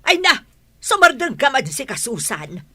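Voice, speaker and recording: A woman's voice: high-pitched, sing-song, a little slow, bright, forceful tone, dry recording, heavily acted. A woman with an Ilocano Filipino accent playing a nagging, debt-ridden village mother in a radio comedy drama. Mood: exasperated.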